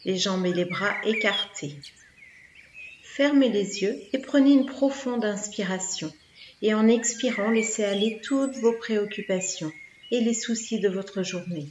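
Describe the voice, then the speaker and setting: Female meditation guide, medium pitch, calm measured instructions with pauses, reverberant room with noticeable background noise. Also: dull audio. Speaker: yoga nidra instructor.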